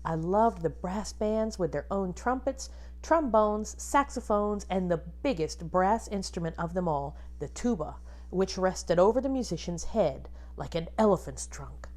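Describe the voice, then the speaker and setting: A woman teacher reading a picture book aloud to children expressively, pausing to ask them questions and point things out. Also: medium pitch. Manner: animated, addresses young children with questions.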